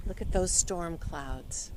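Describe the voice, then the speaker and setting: Slow-paced woman reading outdoors, very noisy recording. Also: fairly low pitch. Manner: simple questions and explanations addressed to young children. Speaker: school librarian reading at storytime. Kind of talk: storytime read-aloud for children with explanatory asides. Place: outdoors beside a pond.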